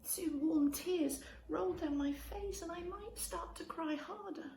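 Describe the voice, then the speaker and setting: A woman reading a children's story aloud in an expressive way, speaking directly to young listeners and prompting them. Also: very high voice, slow, vocal fry. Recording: roomy echo with faint background noise.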